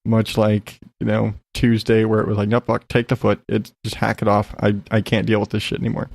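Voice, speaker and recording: Low, gravelly man rambling casually, slightly congested. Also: completely flat delivery, fairly quick, a little mellow, no room sound. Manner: rambling, self-interrupting, frank and sweary. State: slightly congested, getting over an illness. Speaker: podcast host. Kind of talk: casual solo chat, rambling personal update.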